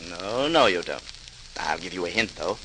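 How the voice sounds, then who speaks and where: An actor playing a modest, earnest and dedicated potter in an old-time radio drama, on a noisy vintage recording. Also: male voice, sits low, expressive, normal speed, bright, forceful tone. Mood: eager, pleased.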